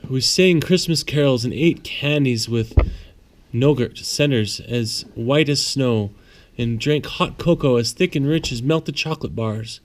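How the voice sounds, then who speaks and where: A man's voice: mid-range voice, slight background noise. Man reading a bedtime storybook aloud. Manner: pauses to answer child's questions simply. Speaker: father reading to his child.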